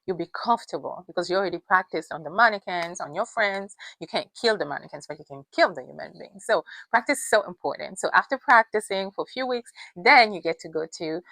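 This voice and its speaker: A woman's voice: lowish voice, fairly bright tone, no echo, poor audio. A woman, a nurse whose first language is not English, giving emphatic casual advice with many fillers and repeated points.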